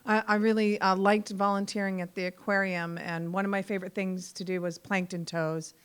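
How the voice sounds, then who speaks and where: A woman's voice: mid-range voice, flat delivery, brisk, clear, resonant tone. A woman presenting her own artwork to a small audience, informal, with many repeats and fillers. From Bahamas and Virgin Islands. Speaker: guest artist presenting her work. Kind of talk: casual presentation talk. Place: community meeting room.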